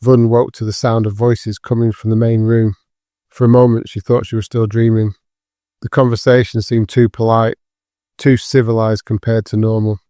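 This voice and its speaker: Even, mellow male narrator. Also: lowish voice, no room sound, clean studio sound. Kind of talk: scripted audio drama narration.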